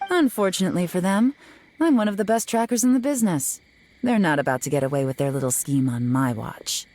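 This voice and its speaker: A woman voicing a cool, confident female investigator who speaks in a calm, measured, teasing way. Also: fairly low pitch, close to an everyday voice. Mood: explaining. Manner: calm, measured, quietly menacing.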